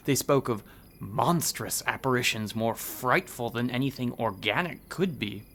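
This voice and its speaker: Earnest intellectual, forceful gravelly voice, dramatic, insistent, radio horror drama. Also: male voice, mid-range voice, a little slow, slight background noise. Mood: ominous, insistent.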